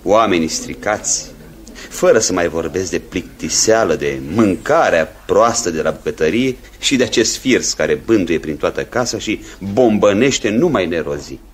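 Low-voiced snobbish manservant. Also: male voice, animated. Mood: disdainful pleading. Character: discontented, snobbish young manservant. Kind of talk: radio theatre acting. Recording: old radio recording, murmuring background.

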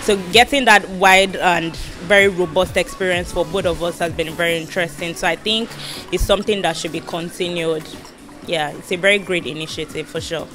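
A young woman from Nigeria, a university student, speaking in a lively way into a handheld mic. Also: lowish voice, brisk. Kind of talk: on-camera interview comments. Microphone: handheld interviewer mic. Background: background music.

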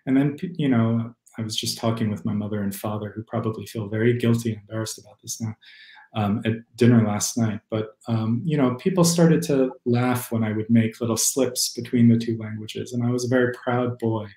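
A man's voice: mid-range voice, steady tone, brisk, mellow. Young man, poet, video call, roomy echo, hesitant thoughtful speech. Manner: thoughtful, hesitant with many fillers. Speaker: poet and critic, guest. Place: home room. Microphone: video call webcam.

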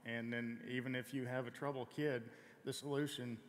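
A man in his thirties, a guest on a church stage, answering in a calm, direct, plainspoken way. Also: medium pitch, moderate pace. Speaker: guest, former Navy SEAL. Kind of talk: onstage interview. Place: large church stage before an audience. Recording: long hall reverb, faint noise.

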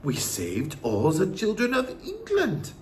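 Man voicing a hushed, slow old grandmother. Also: high voice, huge pitch swings, a little hiss or hum, pitched above the performer's own voice, exaggerated voice. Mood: grave, hushed. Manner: measured, reassuring. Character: calm, wise old Norwegian grandmother, gently knowing.

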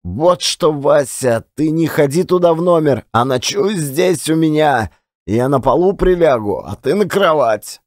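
A man's voice: on the high side, expressive, a little slow, no room sound, studio quality. A man voicing a tender, lamenting old servant who talks in a folksy, sighing way and repeats himself, in an audiobook play. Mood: soothing.

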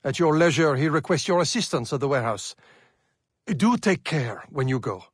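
An actor playing a doting older gentleman father in a video game, with a gentle, formal, measured manner. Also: on the high side, animated, husky, dry recording, studio quality. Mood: fond, concerned. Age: middle-aged or older. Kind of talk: video game cutscene acting.

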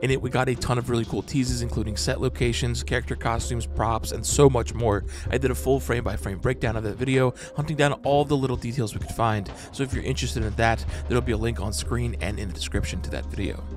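A man's voice: medium pitch, fairly even intonation, fast, hardly any pauses. A man hosting an explainer, reading scripted narration in a breakdown style. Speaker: host of fan breakdown channel. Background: background music.

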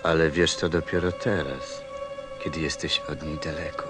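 A man playing a grave, gentle narrator and confidant, telling a story in a measured, reflective way. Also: very deep voice, fairly even intonation, over loud music.

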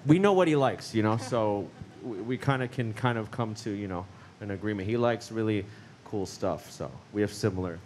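A man's voice: fairly low pitch, moderate pace, darkish tone, slight background noise. A man talking casually on a panel, laid-back and full of "you know", into a handheld mic in a large gallery.

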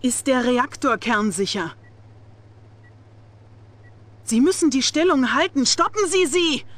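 A young female Soviet officer in a video game cutscene. Her voice is high, and she speaks in a stern, efficient, clipped way with clear authority. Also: animated, moderate pace, fairly bright tone, a little hiss or hum. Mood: urgent, commanding. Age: young adult. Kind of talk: dramatic game cutscene acting.

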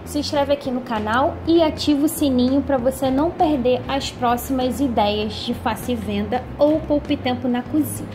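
A woman's voice: high voice, normal speed, few pauses, noisy background. A Brazilian woman hosting a home cooking tutorial in a chatty voice-over, often checking in with "tá?". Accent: Brazilian Portuguese. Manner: chatty, frequent "tá?" check-ins. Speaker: cooking channel host. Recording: music bed underneath.

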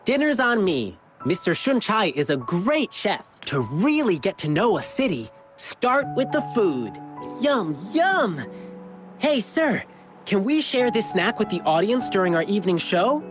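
An actor playing an earnest, kindhearted young male performer in a video game, with a boyish, expressive delivery. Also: sits high, a little slow. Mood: eager, polite. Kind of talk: animated game dialogue. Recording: game music behind the voice.

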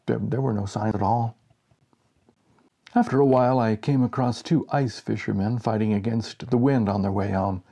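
Creaky-voiced American man in his sixties. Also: on the low side, dark tone. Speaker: storyteller reading a short story aloud. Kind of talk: expressive humorous story reading. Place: small home office, indoors.

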